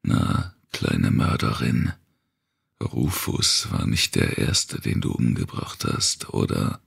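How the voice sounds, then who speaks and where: A man voicing a menacing male captor in an audiobook, taunting in a rough whisper. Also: very deep voice, lively intonation, takes it slowly, a bit bright, voice pushed down, exaggerated voice. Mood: quietly menacing. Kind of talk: audiobook character dialogue.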